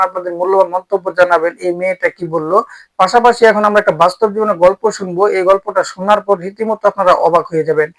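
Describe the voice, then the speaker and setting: A man's voice: high voice, no room sound, rough recording. A man presenting a scripted introduction and addressing viewers formally as the host.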